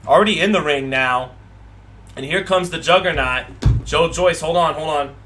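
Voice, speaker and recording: A man's voice: a little high, normal speed, over music. A man hosting a live boxing watch party, reading viewer comments aloud and rambling, with frequent repeats and restarts.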